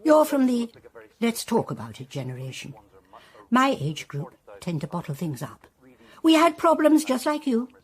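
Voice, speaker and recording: Lively, tart elderly British mother. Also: a little high. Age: older. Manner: brisk, dismissive, deadpan pronouncements. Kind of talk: radio drama acting.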